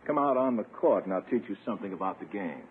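An actor playing a smug, flirtatious athletic doctor in a 1950s radio sitcom, breezy, self-assured and condescending. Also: male voice, fairly low pitch, expressive, fast talker, natural voice. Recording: tinny, faintly noisy 1950s radio recording.

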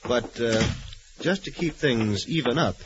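An actor playing a nervous, guarded convict in 1940s radio style, on a noisy, muffled recording. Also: male voice, medium pitch, animated, a little slow, close to an everyday voice. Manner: hesitant, guarded. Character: secretive, nervous, grateful male convict sculptor.

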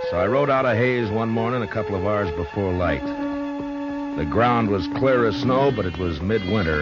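An American actor playing a weary frontier marshal, narrating gravely and deliberately in a 1950s radio western. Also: male voice, deep voice. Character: weary frontier US marshal recounting a blizzard ride. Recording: muffled old radio recording, howling wind, music.

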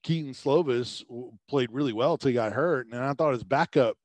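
A man from Tampa, a sports radio host, giving animated sports analysis as a podcast guest, his pitch rising on emphasis. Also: moderate pace, no room sound. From Tampa, Florida.

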